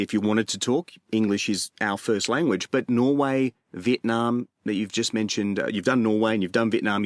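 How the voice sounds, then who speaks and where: A man's voice: mid-range voice, dry recording. A man hosting an interview, friendly, with stumbling restarts and frequent backchannels. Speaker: podcast host. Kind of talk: interviewer asking questions.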